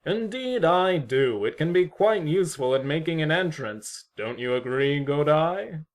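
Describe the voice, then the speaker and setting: A man's voice: on the high side, expressive, measured pace. A man playing a sly, eccentric neighbour in an amateur audio drama, formal, theatrical and unruffled. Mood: smugly polite. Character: eccentric, smugly courteous male neighbour, sly troublemaker.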